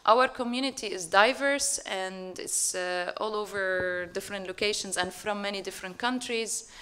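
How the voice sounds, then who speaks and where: Casting: woman raised in Syria, earnest and steady, filler-heavy panel speaker. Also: mid-range voice, normal speed, clear, resonant tone. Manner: earnest, steady, with frequent ums and uhs. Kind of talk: public talk presentation.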